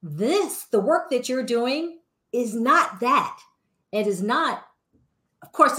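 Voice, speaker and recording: Slow, deliberate woman hosting. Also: medium pitch. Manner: deliberate, warm introductions with light laughs. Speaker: podcast host. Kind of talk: podcast interview.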